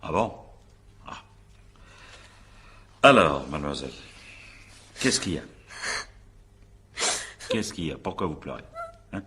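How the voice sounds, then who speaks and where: A male examining magistrate in a radio mystery drama. His voice is very low and gravel-rough, yet bright and forceful. He is brisk and impatient, firing short official questions and cutting answers off. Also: expressive, full character voice. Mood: irritated.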